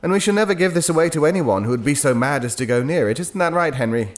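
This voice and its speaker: An actor with a British accent playing a hesitant, reassuring gentleman who tells an eerie tale in an audio drama, halting and conversational. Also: a little high, animated, close to an everyday voice. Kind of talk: audio drama ghost story narration.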